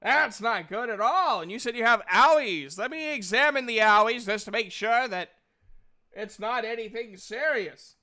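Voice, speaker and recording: The loud-mouthed robot at a fantasy guild's counter, high and piercingly bright, blurting oaths at adventurers, acted by a man at a game table. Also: animated, moderate pace, exaggerated voice. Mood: concerned. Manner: exclamatory, swearing. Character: excitable, blunt, foul-mouthed male robot guild member. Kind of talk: tabletop roleplay character voice.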